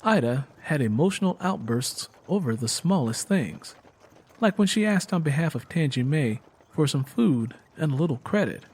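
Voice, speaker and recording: A man's voice: on the high side, lively intonation, normal speed, a little mellow, slightly rough. A man narrating a scripted dramatic story as an audiobook reader.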